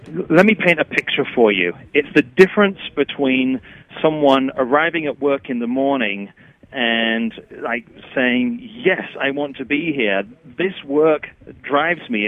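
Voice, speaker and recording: A man's voice: mid-range voice, unhurried. A man with an English accent, a chief executive and interview guest, explaining his work with vivid examples. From England. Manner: explanatory, paints pictures with examples. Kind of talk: interview answers. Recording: tinny phone line.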